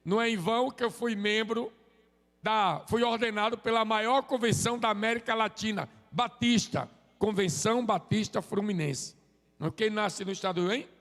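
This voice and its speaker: A man, preaching a relaxed, joking sermon and prompting the congregation to answer. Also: high-pitched, moderate pace, gravelly, in a large hall. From Sergipe, Brazil. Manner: joking, chatty, drops "amém?" tags. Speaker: visiting preacher. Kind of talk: informal sermon with crowd call-and-response. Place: church sanctuary.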